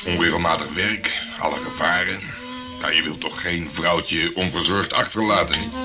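A man's voice: sits low, fairly even intonation, moderate pace, loud music behind the voice, lightly acted. An actor playing a cocky, patronizing, hot-headed tough-guy private detective in a Dutch radio drama. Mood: boastful, relaxed. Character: swaggering, blustering male private detective, tough-guy loner.

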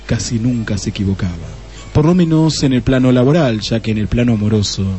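A man's voice: medium pitch, a little fast, mellow, faint music behind, lightly acted. An actor playing the narrator of a comic detective radio play, a man reading scripted storytelling in Spanish.

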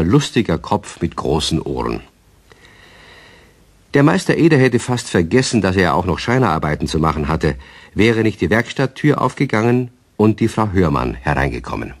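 Husky, dry-voiced male storyteller. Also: on the low side, dry recording. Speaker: narrator. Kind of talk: scripted storybook narration.

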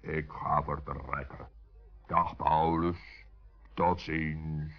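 Very deep, slow, commanding giant played by a man. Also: flat delivery, full character voice. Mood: commanding, kindly. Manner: measured, authoritative. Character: calm, commanding, kindly male giant. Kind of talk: 1960s children's radio play acting. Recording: dull, muffled old radio recording.

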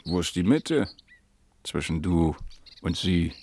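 A man's voice: deep voice, expressive, slow, darkish tone, heavily acted. An actor in a German radio play playing a wry, laconic mobile disc jockey in his mid-thirties who flirts in short, dry phrases. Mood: wry, flirtatious.

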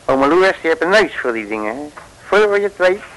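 Casting: folksy detective, old radio play; blustering, jovial, very animated intonation. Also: male voice, a little high, a little fast, poor audio. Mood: cocky. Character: cocky, folksy male detective, slangy and self-assured. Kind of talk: 1960s Dutch radio play acting.

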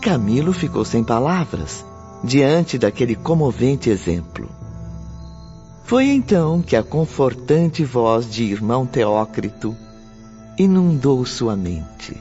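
An actor as the dramatic storyteller of a spiritual radio serial, reading scripted narration. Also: male voice, medium pitch, very animated, takes it slowly, dark tone. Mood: solemn suspense. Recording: muffled lo-fi audio under music.

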